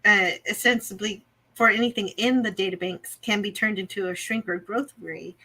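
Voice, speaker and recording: A woman co-hosts a casual review discussion at a middling pitch. She explains her reasoning step by step and sounds analytical as she recaps and weighs up details. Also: fairly even intonation, normal speed, crowd noise, poor audio. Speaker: review show co-host.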